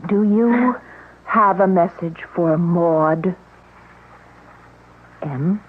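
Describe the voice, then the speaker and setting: A woman's voice: lowish voice, extremely slow. An actress playing a woman who guides a friend through a Ouija session, instructive and then tense, in a 1970s radio drama. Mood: guiding. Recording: tinny old radio broadcast.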